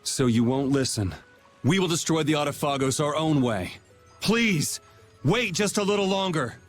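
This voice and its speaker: A man's voice: a little high, lively intonation, normal speed, soft background music, lightly acted. A man playing an earnest, resolute young swordsman leading his party in a video game. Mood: firm, pleading. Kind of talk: English video game voice acting.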